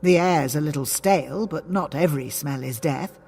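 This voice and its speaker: A woman voicing a confident, learned, eccentric male time traveller with a gruff, breezy manner in an audiobook. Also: low-pitched, normal speed, a little mellow, faint music behind. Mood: breezy, assured. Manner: gruff, breezily self-assured. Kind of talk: dramatic audiobook character dialogue.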